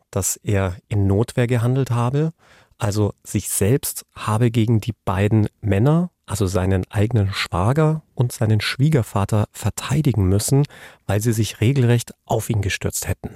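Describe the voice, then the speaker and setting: Male true-crime podcast expert, mellow and fairly deep, explaining conversationally, recorded close and dry. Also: moderate pace, no echo.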